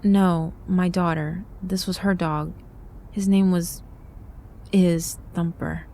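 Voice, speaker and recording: Woman acting hesitant, low-voiced sad mother. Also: steady tone, slow, mellow. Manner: hesitant, terse. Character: hesitant, saddened mother with her daughter's dead dog. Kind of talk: audio horror drama acting.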